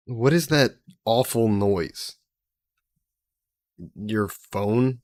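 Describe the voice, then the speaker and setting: A man's voice: on the low side, no room sound. A man voicing a centuries-old male demon bound in a necklace, sly and possessive, speaking in a mocking, theatrical way in an audio roleplay to the listener. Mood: disdainful.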